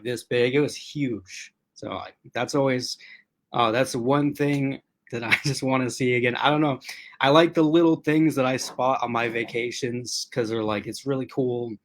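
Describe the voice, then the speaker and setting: A man hosting a relaxed livestream, talking straight to his chat viewers in a loose, rambling way. His voice is strained from being used a lot, and he stops often to clear his throat. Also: mid-range voice. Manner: chatty and rambling, addressing chat commenters. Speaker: host.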